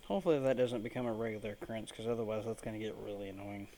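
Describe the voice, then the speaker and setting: A man's voice: lowish voice, fairly even intonation, very fast, warm tone, a little hiss or hum. A man giving casual, sarcastic let's play commentary, grumbling impatiently as he plays a video game. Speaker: gaming video creator playing and commenting.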